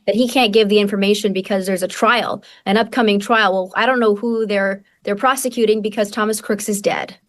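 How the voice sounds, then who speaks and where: A woman's voice: mid-range voice, fairly even intonation. An American woman giving political commentary in conversation as a guest journalist, often repeating words as she builds her point. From Wisconsin, United States. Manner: halting, repeats words mid-sentence.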